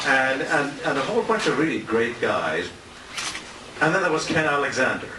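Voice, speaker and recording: An older man gives a loose, anecdotal after-dinner tribute, wandering and pausing as he goes. His voice sits at an ordinary pitch, picked up from far off by a small camera in a noisy room. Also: very animated, reverberant. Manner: halting, rambling, anecdotal. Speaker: retired radio announcer giving a tribute speech. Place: restaurant banquet room. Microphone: handheld compact camera at a distance.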